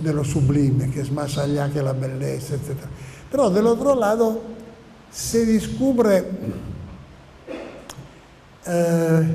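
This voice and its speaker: An older man, a native Italian speaker with an Italian accent, giving a halting, improvised academic lecture, often searching for words and repeating phrases. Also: on the high side, mellow. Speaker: seminar lecturer. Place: seminar room or hall, indoors. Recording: echoey reverberant hall with room noise.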